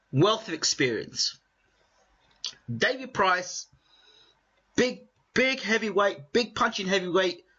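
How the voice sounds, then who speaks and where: A man with a strong British accent interviewing a guest, building his questions in long excited runs. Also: high-pitched. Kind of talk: animated interview chat. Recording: muffled lo-fi audio.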